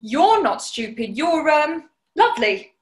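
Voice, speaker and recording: Woman as British housemaid, very high pitch, echoey room, nervous, polite, plucky, 1940s radio play. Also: sing-song, a little slow. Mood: nervous, placating. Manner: flustered, polite, hesitant. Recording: roomy echo.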